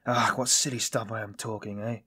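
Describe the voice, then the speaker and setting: A man acting a tormented, husky, brooding man. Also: on the low side, little pitch movement, sharp tone. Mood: musing, subdued. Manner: brooding, bitter, halting. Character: tormented, weary, self-loathing man confessing. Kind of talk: dramatised audiobook dialogue.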